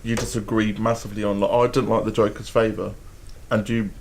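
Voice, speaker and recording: A man's voice: on the low side, flat delivery, fairly quick, slight background noise. Giggly, laid-back man. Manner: laid-back, giggly, trails off mid-sentence. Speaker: podcast co-host. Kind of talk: casual podcast chat.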